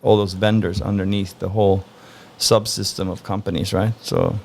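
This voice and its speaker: Interview guest, male, from Norway, gravelly low voice, easygoing and thoughtful. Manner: relaxed, thoughtful, frequent "uh" pauses. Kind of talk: casual interview answers.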